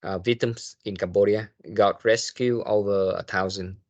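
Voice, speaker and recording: A man, speaking haltingly as he answers questions in an online meeting as a guest investigator. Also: lowish voice, fairly even intonation, darkish tone. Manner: halting, hesitant phrasing.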